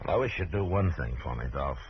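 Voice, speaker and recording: A low-pitched, steady frontier marshal in an old radio western. He is dry, weary and firm, wasting few words. The sound is muffled, with heavy hiss and noise. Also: a little fast. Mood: calm. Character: calm, weary, authoritative frontier marshal, adult man. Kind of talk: 1950s radio western acting.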